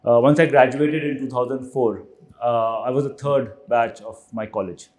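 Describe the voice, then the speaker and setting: A man's voice: medium pitch, steady tone, moderate pace, a little mellow. A man in his forties with an Indian English accent, a podcast guest rambling through his career story in a chatty way. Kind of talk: casual career interview.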